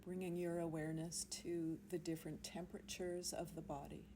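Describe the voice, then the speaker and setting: A woman leading a guided yoga nidra meditation in a soothing, unhurried manner, leaving long pauses between phrases. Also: fairly low pitch, level tone. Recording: amateur recording with faint background noise.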